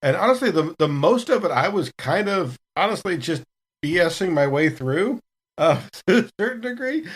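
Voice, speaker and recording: A man's voice: sits high, very animated, normal speed, husky. A man chatting casually as a podcast cast member, telling stories in a rambling way. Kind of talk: casual retrospective chat.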